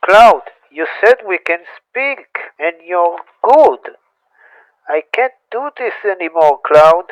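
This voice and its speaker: A man's voice: high-pitched, expressive, slow pace, no echo, low-quality recording. A man voicing a young woman, an emotional, fed-up wife and mother who pleads and scolds. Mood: exasperated.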